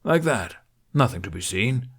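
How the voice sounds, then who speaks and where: A man's voice: medium pitch, big pitch swings, normal speed, slightly rough. A man voicing a big, boisterous male warrior with a whining, blustery manner. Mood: whiny.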